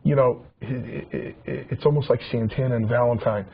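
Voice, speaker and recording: A man's voice: medium pitch, fairly even intonation, normal speed, creaky. A man in his forties reminiscing in a casual talk show chat, rambling with many restarts and repeated words. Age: 40s. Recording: dull lo-fi sound with faint hiss.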